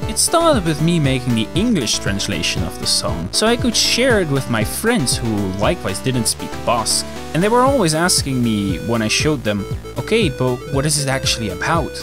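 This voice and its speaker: A man's voice: mid-range voice, sing-song, normal speed, few pauses, music in the background. A man whose first language is Dutch, narrating a scripted video as the channel host and lifting his voice eagerly at the start of phrases.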